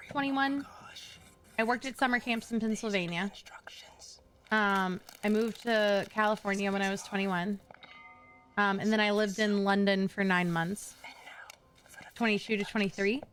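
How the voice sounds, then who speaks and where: A woman's voice: medium pitch, fairly bright tone. A young adult woman from Kentucky, chatting casually on a live stream and answering her chat.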